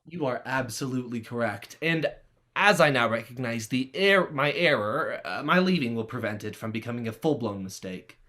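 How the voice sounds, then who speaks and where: An actor playing a hesitant, sarcastic teenage boy in a stage script reading, halting and sardonic. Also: medium pitch, expressive, pushed and bright.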